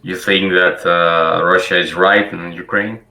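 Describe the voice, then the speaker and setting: A man's voice: sits low, steady tone, measured pace, gravelly, sharp tone, roomy sound. A man from Greece, talking to a stranger in a casual video chat, hesitant and full of fillers.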